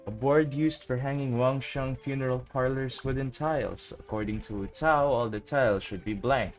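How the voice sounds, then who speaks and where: A woman with a very deep voice streams a game. She reacts casually and reads on-screen text aloud, with faint music in the background. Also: moderate pace, low-quality recording. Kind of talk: casual reactions and reading aloud during gameplay.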